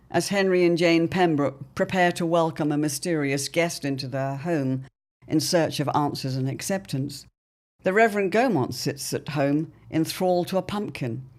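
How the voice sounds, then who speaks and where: A narrator giving scripted, measured storytelling narration that opens an audio drama episode. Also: mid-range voice, a little mellow. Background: faint music.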